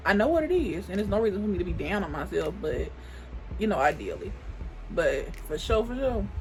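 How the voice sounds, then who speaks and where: Woman in her twenties, casual get-ready-with-me chat, lively pitch, faint music behind. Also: low-quality recording. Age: 20s. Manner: rambling, thinks aloud with many restarts and "like". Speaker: beauty vlogger. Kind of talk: casual chit-chat while doing makeup.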